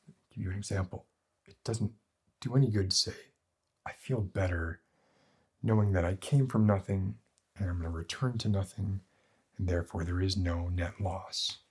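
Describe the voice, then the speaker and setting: A man playing a morbid, cynical doctor in an ASMR roleplay, lecturing in a pedantic, deliberate and bleak way with a husky voice. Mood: dry, cynical. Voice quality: husky, breathy, low. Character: morbid, cynical male doctor treating depression with despair.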